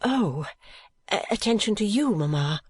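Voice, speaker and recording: A woman voicing a gentle, modest young daughter in an audiobook, earnestly protesting. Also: medium pitch, sing-song, unhurried, breathy edge, no echo, voice pushed up, heavily acted. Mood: flustered. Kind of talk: audiobook character acting.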